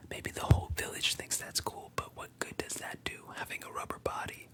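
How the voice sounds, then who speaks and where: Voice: a little fast, lightly acted. Whispered fretful old village chief. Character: worried elderly village chief.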